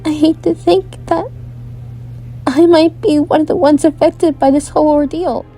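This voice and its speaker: Anxious young female bard for fantasy audio drama, played by a woman; high voice, worrier with a teasing side, music behind. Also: steady tone, normal speed, warm tone. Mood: uneasy, brooding.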